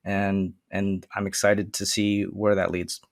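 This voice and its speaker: A man whose first language is Vietnamese, a guest on a casual podcast interview, explaining his work in an earnest, steady way. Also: deep voice, unhurried, vocal fry, no echo, studio quality. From Michigan, USA. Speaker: guest, STEM consultant.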